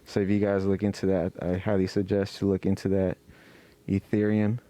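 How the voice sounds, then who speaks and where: Man, low and mellow, loose profane podcast co-host. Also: monotone, brisk, dry recording. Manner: loose, profane, rambling with many restarts. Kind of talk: casual podcast banter.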